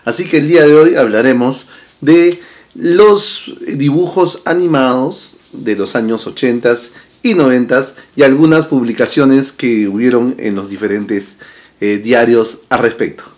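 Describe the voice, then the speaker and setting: A man, chatting casually to camera as a vlog host in a room full of toy shelves, lively and full of tag questions. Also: mid-range voice, unhurried. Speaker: channel host. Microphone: fixed camera at desk distance.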